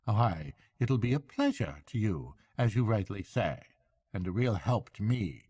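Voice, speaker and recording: A man's voice: fairly low pitch, big pitch swings, unhurried, mellow, breathy edge, dry recording, voice pushed up, heavily acted. A man voicing a hearty, shrewd barge woman in a storybook reading, chatty and falsely respectful. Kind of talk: storybook character voice.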